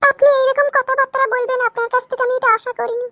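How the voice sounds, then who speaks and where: A woman playing a shy, hesitant young wife in a comedy cartoon, with a squeaky childlike voice. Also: flat delivery, fast talker, sharp tone, no echo, exaggerated voice. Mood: hesitant, demure.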